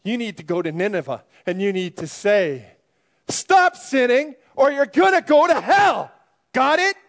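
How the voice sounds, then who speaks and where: A man playing a stern fire-and-brimstone preacher in a church comedy sketch, scolding and building up to shouts. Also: high voice, moderate pace, lightly acted. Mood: fiery.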